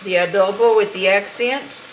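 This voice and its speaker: A middle-aged woman hosting a casual home cooking video, talking in an unhurried, homey way while she cooks, with frequent fillers. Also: medium pitch, background noise. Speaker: cooking channel host. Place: home kitchen. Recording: home kitchen with sizzling pan. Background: frying and sizzling.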